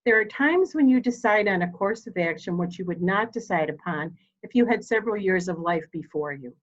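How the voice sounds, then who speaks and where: A steely, dignified elderly mother played by a woman speaks with formal, deliberate gravity in a murder mystery drama. Her middle-pitched voice is commanding and controlled, captured in slightly dull, lo-fi audio. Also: expressive, lightly acted. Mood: grave, resolute.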